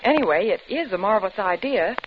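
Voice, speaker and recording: A woman's voice: medium pitch, expressive, fast talker, lightly acted. An actress playing an eager, persuasive woman in a 1940s radio drama. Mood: eager, coaxing. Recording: dull old radio recording, faint noise.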